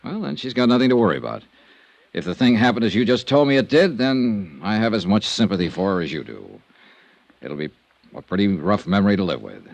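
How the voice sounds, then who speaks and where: A man's voice: on the low side, very animated, fairly quick, vocal fry, dry recording, highs cut off. An American man acting a skeptical, dogged insurance investigator in an old-time radio detective drama, with a probing, clipped, wry way of talking. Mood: firm, wry. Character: skeptical, dogged male insurance investigator, hard-boiled and dry. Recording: vintage radio recording.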